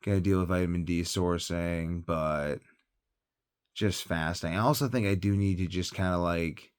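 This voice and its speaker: A man's voice: low-pitched, fairly even intonation, moderate pace, smooth, dry recording, studio quality. A tired man talking in a casual, rambling monologue as a health vlogger, hesitant and thinking aloud, often trailing off.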